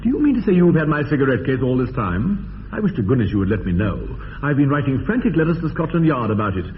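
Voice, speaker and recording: A man acting as a stuffy young English gentleman sounds out through a narrow, tinny radio recording with long reverb. He is upper-class, evasive and easily affronted, his pitch rising and falling sharply over formal phrases. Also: very animated, fast, slight background noise, close to an everyday voice. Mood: indignant. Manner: pompous, indignant, clipped formal phrasing. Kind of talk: radio drama acting of period comedy.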